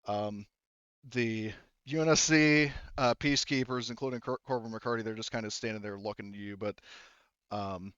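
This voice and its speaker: A man narrates a tabletop game in a clean, smooth voice at an ordinary pitch. He is the game master, talking casually and haltingly with loose, sweary phrasing. The recording is dry and slightly dull. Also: steady tone, a bit bright, dry recording. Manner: halting, informal, swears freely.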